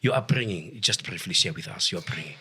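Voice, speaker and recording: A man's voice: low-pitched, expressive, fast, pushed and bright, a little hiss or hum. A man hosting a studio sports interview, with many fillers and short okays. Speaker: show host.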